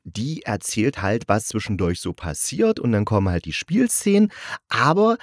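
A man's voice: on the low side, sing-song, normal speed, no room sound, studio quality. A man hosting a solo podcast, reviewing in a casual, chatty way with enthusiasm and rambling asides. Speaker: podcast host reviewing audio dramas.

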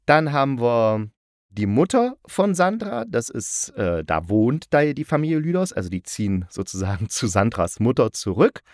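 A man's voice: mid-range voice, very animated, normal speed, a little mellow, no echo, clean studio sound. A man hosting a podcast about audio dramas, chatting casually and rambling a little, with wry, ironic asides. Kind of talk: casual solo podcast talk.

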